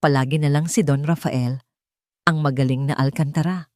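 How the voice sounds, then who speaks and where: A woman's voice: low-pitched, steady tone, moderate pace, darkish tone, dry recording, clean studio sound, pitched below the performer's own voice, natural voice. A woman reading a bitter, envious male schemer in a dramatic story, resentful and emotional. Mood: bitter outburst.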